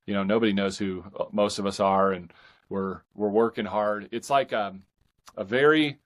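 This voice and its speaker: A man speaking as a guest author in a casual interview, earnest and reflective, often halting and repeating words. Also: lowish voice, steady tone. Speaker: guest, indie fiction author.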